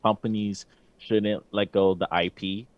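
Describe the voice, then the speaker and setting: Deep-voiced laid-back man, dry close sound. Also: little pitch movement, normal speed, dry recording, lo-fi sound. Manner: laid-back, joking, trails off with "like". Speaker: podcast co-host. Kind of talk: casual banter.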